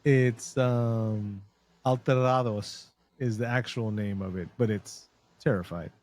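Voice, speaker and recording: A man co-hosting a casual horror film podcast, joking and swearing with halting restarts. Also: medium pitch, fairly even intonation, darkish tone, no room sound. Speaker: podcast co-host. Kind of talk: casual chat.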